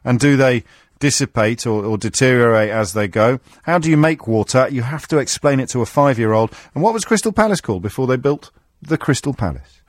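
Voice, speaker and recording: A man's voice: medium pitch, animated, brisk, no room sound. A middle-aged man hosting a radio phone-in, dry and teasing, with a self-mocking humour. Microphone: studio microphone.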